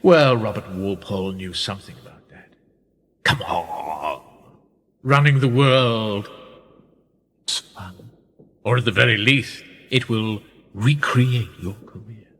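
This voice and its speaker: Male actor as older schemer, husky, theatrical, animated pitch, menacingly teasing, radio drama. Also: heavily acted. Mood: persuasive. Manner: theatrical, insinuating, drawn-out phrases, often hushed. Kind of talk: radio play acting. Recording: echoey hall-like reverb.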